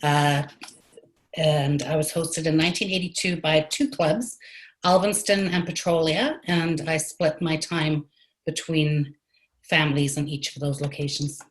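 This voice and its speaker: A woman from Zimbabwe answering panel questions in a relaxed way over a video call. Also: deep voice, unhurried, poor audio. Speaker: former exchange student.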